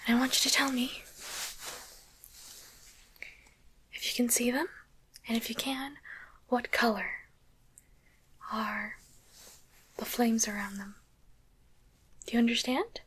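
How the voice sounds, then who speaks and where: A woman playing a gentle, courteous demon doctor in an ASMR roleplay, speaking in a soft breathy whisper with polite, unhurried questions. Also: on the high side, fairly even intonation, slight background noise. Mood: soothing, inquisitive. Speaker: ASMR performer.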